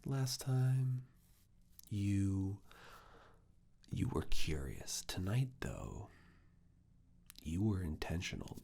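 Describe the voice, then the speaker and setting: Male ASMR performer, professor role: extremely low, whispered, gravelly, with deliberate, teasingly stern and intimate delivery. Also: animated, dark tone. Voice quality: breathy whisper mixed with very low creaky murmur. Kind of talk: ASMR personal attention roleplay.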